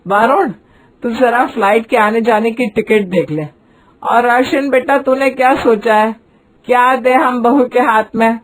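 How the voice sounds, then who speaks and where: Lady doing a pushy middle aged saas for a cartoon story, loud n nagging, pitched up. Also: unhurried, poor audio. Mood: bossy, excited. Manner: commanding, emphatic, nagging. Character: showy, bossy middle-aged mother-in-law, demanding. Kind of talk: acted cartoon story dialogue.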